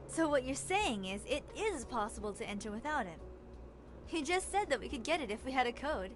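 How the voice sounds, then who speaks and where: A scheming young woman in a visual novel, with a fairly high voice. She is confident, teasing and used to giving orders. Game music is clearly heard behind her. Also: big pitch swings, brisk. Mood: sly, teasing. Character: bossy, scheming young woman, cunning amateur sleuth.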